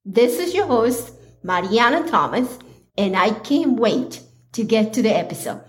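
A woman hosting her own podcast, talking earnestly with a slightly raspy, hoarse voice, pausing and restarting often. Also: on the high side, big pitch swings. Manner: slow, earnest, with pauses and restarts. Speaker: podcast host. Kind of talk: casual solo podcast talk.

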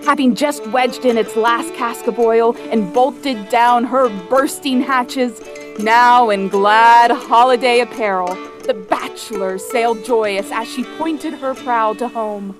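Seafaring narrator, fairly high voice, grave and wry, literary audio drama with faint music beneath. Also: female voice, slow pace. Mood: storytelling.

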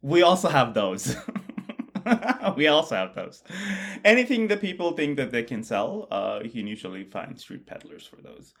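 A man talks through ideas in a casual podcast chat. His mid-range voice muses along and often trails off quietly at the ends of thoughts. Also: mid-range voice. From Bangladesh. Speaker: podcast co-host, mathematician.

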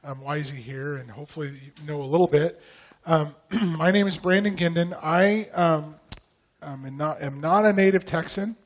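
Slightly raspy man pastor, casual. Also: fairly high pitch, normal speed. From Idaho. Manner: relaxed, conversational, frequent ums and throat clearing. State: raspy voice after a vocal cord infection. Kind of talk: church teaching talk.

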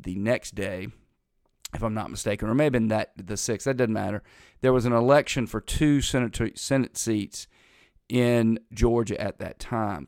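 A man's voice: fairly low pitch, a little mellow, no echo, studio quality. A middle-aged man hosting a casual political talk show, rambling in a folksy way while congested from a lingering cold.

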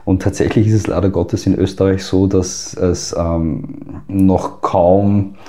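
A man's voice: deep voice, level tone, slow pace, mellow, a little hiss or hum. A man with an Austrian accent, a guest in an interview, explaining at length with frequent filler sounds. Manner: hesitant, many fillers. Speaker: guest expert.

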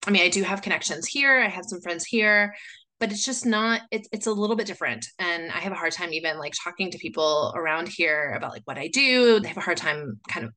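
A chatty woman from the United States hosts a solo podcast episode. Her voice sits fairly low but sounds bright and forceful. She wanders through her thoughts, leaning on plenty of "like" and "you know.". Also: animated, a little fast. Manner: chatty, rambling, full of "like" and "you know" fillers. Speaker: podcast host. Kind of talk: casual solo podcast talk.

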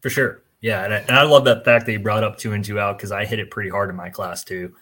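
A man's voice: on the low side, fairly even intonation, quick pace, bright, forceful tone. A man who is a firefighter and instructor speaks earnestly and analytically in a casual chat.

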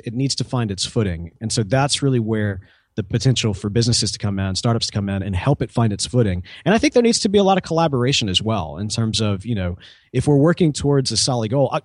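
A man's voice: medium pitch, no echo, studio quality. A man speaking informally as an interview guest, a start-up co-founder in casual conversation who often acts out bits of dialogue. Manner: informal, talks in hypothetical quoted dialogue.

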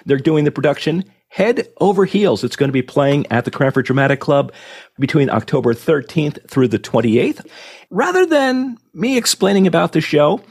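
Hoarse, raspy-voiced man hosting radio. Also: on the high side, expressive, moderate pace, a little mellow. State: hoarse from illness. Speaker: radio podcast host. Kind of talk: interview introduction.

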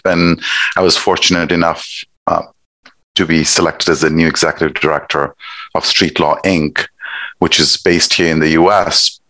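Middle-aged man from Nepal, very low voice, dry sound; measured, thoughtful interview guest. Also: fairly bright tone, no room sound, low-quality recording. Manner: thoughtful, measured, with pauses and fillers. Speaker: guest, executive director. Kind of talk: conversational interview.

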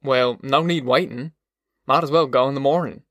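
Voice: medium pitch, moderate pace, a bit bright, dry recording, clean studio sound, natural voice. A narrator voicing a worn-down, proud farm father who grumbles sarcastically in rustic dialect for an audiobook. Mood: resigned. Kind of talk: audiobook character voice.

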